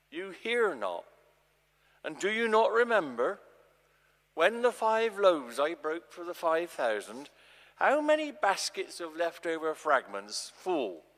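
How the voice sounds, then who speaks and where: A man's voice: on the high side, a bit bright, pitched above the performer's own voice, full character voice. A man performs a commanding male teacher from scripture, exasperated and emphatic. The phrases are declaimed and drawn out, echoing through a long church reverb.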